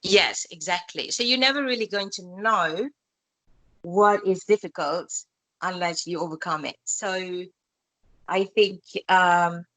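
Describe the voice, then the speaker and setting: A woman with a fairly low voice speaks calmly and reflectively, in loose second-language English with spiritual phrasing. She was born in Malaysia. The sound is dry and close, with no room echo. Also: unhurried. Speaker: skin expert interviewee. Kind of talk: reflective casual interview talk.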